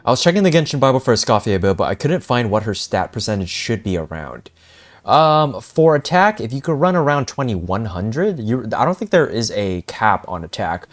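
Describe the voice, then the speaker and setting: Low-voiced casual male game streamer. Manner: informal, conversational. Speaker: game streamer reacting to a quest. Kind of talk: casual livestream chat.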